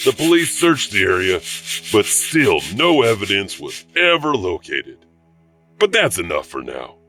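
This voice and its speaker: Low, animated male storyteller. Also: measured pace, distant mic, soft background music. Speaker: narrator, storyteller. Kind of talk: scripted urban legend narration.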